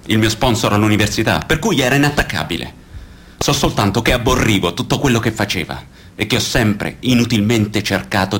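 A man's voice: lowish voice, fairly bright tone, a little hiss or hum, lightly acted. An actor playing a grave male witness and narrator, reciting testimonies formally and solemnly in a radio theatre style. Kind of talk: scripted radio theatre reading.

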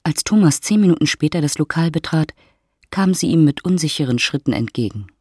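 A woman's voice: deep voice, lively intonation, fairly quick, a little mellow, breathy edge, dry recording. A woman narrating a literary audio drama from a script.

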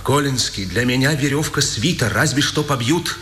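A man's voice: medium pitch, expressive, moderate pace, fairly bright tone, faint music behind, natural voice. An actor playing an imprisoned resistance man facing execution, theatrical, poetic and intense, in a Soviet radio drama of the 1950s. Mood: wary. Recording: vintage radio recording with hiss.

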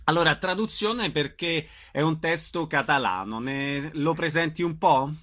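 A man's voice: on the high side, unhurried, a bit bright. A man, hosting a radio interview about the arts. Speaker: radio host interviewing theatre artists.

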